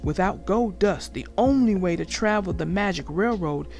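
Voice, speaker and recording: An encouraging magical railway conductor from a storybook, acted by a woman. He speaks earnestly to spur others on, over clear music. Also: mid-range voice, expressive, normal speed, a little mellow.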